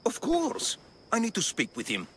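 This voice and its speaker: An actor playing a nervous, self-serving male henchman in a video game cutscene, halting and bargaining. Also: very high-pitched, huge pitch swings, fairly bright tone, slight background noise, exaggerated voice. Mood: agitated, demanding.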